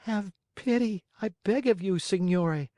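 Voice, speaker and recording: A man voicing a small, brave wooden puppet boy with a high, piercing voice. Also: unhurried, warm tone, no echo, clean studio sound. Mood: pleading.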